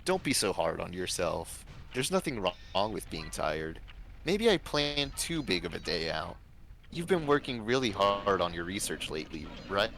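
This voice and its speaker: Guy doing sweet earnest young woman from a fan story, kinda halting n tender, lively pitch, bit of background noise. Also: full character voice. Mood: reassuring. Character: Alice, gentle, earnest young woman in love.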